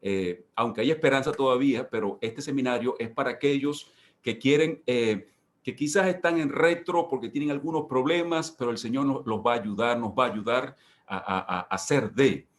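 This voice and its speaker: A man's voice: mid-range voice, normal speed. A man teaching as a pastor in an informal sermon style, emphatic and given to repeating key phrases. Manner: repetitive, emphatic, with rhetorical repetition. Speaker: pastor leading a church workshop.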